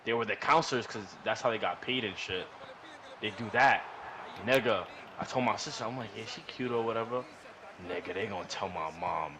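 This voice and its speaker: A man rambles through a casual, profane story, slangy and animated, often repeating himself. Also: on the low side, fairly quick. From New York. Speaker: podcast storyteller. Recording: noisy room recording.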